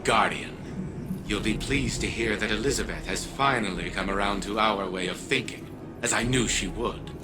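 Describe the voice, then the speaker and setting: An actor playing an imperious, self-assured strategist who gives a video game mission briefing in precise, formal diction. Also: male voice, fairly low pitch, natural voice.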